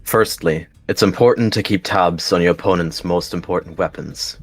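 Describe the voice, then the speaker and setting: A man's voice: low voice, moderate pace, dry recording, faint music behind. A man voicing a stern, intense warrior cat mentor who gives commanding instructions in a roleplay game. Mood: stern, instructive.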